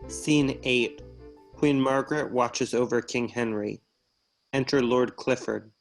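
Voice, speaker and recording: A man's voice: mid-range voice, fairly even intonation, slow pace, creaky, faint music behind, low-quality recording. A man reading stage directions as scripted narration for a play. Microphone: video call.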